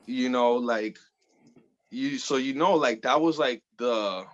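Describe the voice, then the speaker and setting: A man's voice: mid-range voice, takes it slowly, highs cut off. A man in his thirties from the Bronx, chatting loosely as an interview guest, full of fillers and casual swearing. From the Bronx, New York. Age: 30s. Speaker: guest artist.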